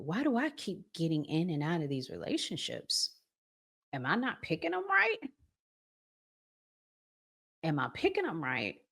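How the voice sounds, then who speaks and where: Woman vlogger w a low voice, talks real slow, relationship advice, leans on words and repeats em. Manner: emphatic, repeats phrases, rhetorical questions. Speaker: relationship vlog host. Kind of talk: conversational relationship advice monologue.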